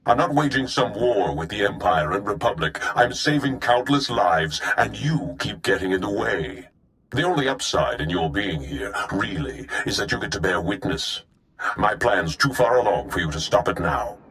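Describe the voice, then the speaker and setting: Sci-fi game villain: deep, grave, grandiose warlord, full of disdain. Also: male voice, sing-song, moderate pace, fairly bright tone. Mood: grandiose conviction. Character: grim, grandiose male warlord bent on changing the galaxy. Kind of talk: dramatic video game acting.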